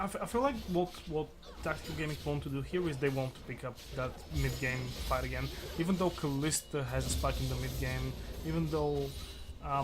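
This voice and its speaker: A man giving casual esports analysis as a co-commentator, often repeating words as he starts his sentences. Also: medium pitch.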